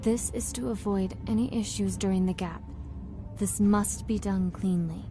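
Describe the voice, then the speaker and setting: A calm woman technician, voiced by a woman, explains a delicate procedure in precise, businesslike terms. Music plays softly behind her. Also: mid-range voice, moderate pace, lightly acted. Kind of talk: fan audio drama acting. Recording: music playing underneath.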